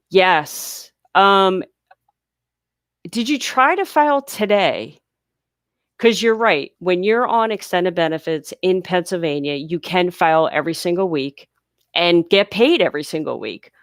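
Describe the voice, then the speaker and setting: A chatty woman runs a live question-and-answer stream, her voice on the lower side and congested. She speaks with a smooth, clean tone, close and dry with no room sound. She explains things patiently, step by step. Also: animated, normal speed, no echo. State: congested from sinuses. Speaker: livestream host answering viewer questions. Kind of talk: casual live Q&A. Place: home near a large US city.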